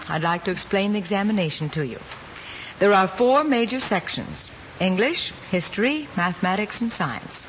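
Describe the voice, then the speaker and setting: Formal, composed woman teacher actress, measured delivery, vintage film drama, muffled with faint noise. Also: medium pitch, fairly bright tone, lightly acted. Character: composed, solicitous female schoolteacher. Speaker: teacher, examiner. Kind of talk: mid-century American screen drama acting.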